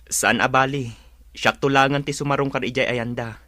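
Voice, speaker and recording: An actor as an impatient young husband in a radio drama. His voice is bright and forceful, recorded dry and close, as he pushes back at the women of his family. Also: mid-range voice, steady tone, moderate pace, no echo, lightly acted. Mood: defensive. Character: young husband, impatient, torn between wife and mother. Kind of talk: Ilocano radio drama acting.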